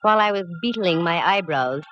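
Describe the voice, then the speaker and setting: An actress playing a shrewd, wisecracking female private eye in a 1940s radio detective drama, wry and brisk. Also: on the low side, expressive, a bit bright, soft background music. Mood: wry narrating. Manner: wry, brisk, hard-boiled. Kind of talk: 1940s radio detective acting with first-person narration. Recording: dull, noisy vintage radio recording.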